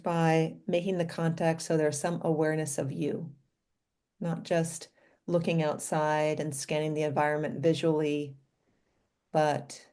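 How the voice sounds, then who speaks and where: A woman leading a guided meditation in a gentle, inviting manner, speaking in short phrases with pauses between them. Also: low-pitched.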